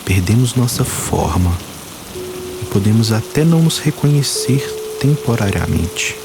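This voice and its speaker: A man guiding a meditation, speaking calmly and slowly in short phrases with long pauses. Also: fairly low pitch, gravelly, background noise. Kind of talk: guided meditation. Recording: voice-over with music and water sounds. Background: soft music, rain and running water.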